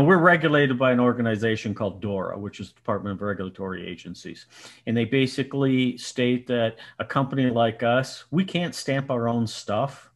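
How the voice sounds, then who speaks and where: Nasal guy in his 60s, headset mic on a video call, pokes fun at himself a lot. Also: medium pitch. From Colorado. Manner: self-mocking storyteller, laughs often. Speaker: podcast guest, business owner. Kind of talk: casual video call chat. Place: home room indoors.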